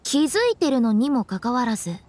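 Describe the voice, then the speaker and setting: A woman's voice: on the high side, animated, brisk, darkish tone. A woman playing a playful, shrewd young funeral director in an anime game, with teasing, sing-song, probing delivery. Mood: probing, suspicious. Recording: background music under voice.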